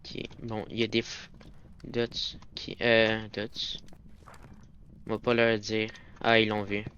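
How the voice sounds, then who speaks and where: Bright-voiced Québécois gamer man. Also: lowish voice, completely flat delivery, slow. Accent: Québécois French. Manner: chatty, muttering to himself while playing. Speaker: streamer playing a ghost-hunting game. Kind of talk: casual gameplay commentary. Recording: slightly dull recording with faint noise.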